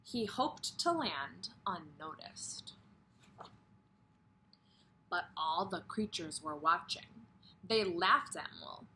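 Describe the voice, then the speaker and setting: A woman's voice: on the low side, animated, slow pace, long pauses, sharp tone, slight background noise, poor audio. A woman kindergarten teacher reading a story aloud expressively and putting questions directly to young children.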